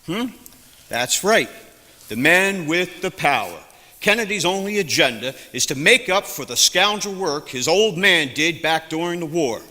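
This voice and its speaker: Man as folksy middle-aged vet and shopkeeper, bright, fairly high voice, reverberant hall. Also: animated, measured pace. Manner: folksy, ranting, drawling. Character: 1962 Southern drugstore owner, war veteran, opinionated. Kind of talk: student stage play.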